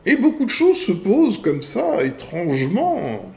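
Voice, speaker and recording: A man hosting a video channel. He reads viewer messages aloud and answers them in a deliberate way, in short phrases with pauses. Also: high-pitched, huge pitch swings, creaky, a little mellow.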